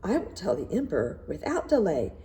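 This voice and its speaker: A woman's voice: on the low side, huge pitch swings, mellow. A woman voicing an anxious, flustered old male minister in a storybook, gushing and eager to please. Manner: gushing, hurried praise over private worry.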